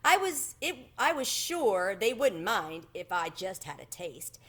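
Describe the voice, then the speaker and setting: A woman's voice: mid-range voice, big pitch swings, a little slow, bright, forceful tone, breathy edge, full character voice. A woman voicing a polite, modest male country cricket in a children's storybook, earnestly telling his adventure. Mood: confessing. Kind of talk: children's storybook read-aloud.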